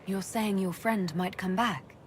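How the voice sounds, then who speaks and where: An actress playing a compassionate, composed elven leader in a video game, speaking calmly and gently. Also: female voice, mid-range voice, a little slow, a little hiss or hum, close to an everyday voice. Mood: gentle concern. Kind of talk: video game dialogue acting.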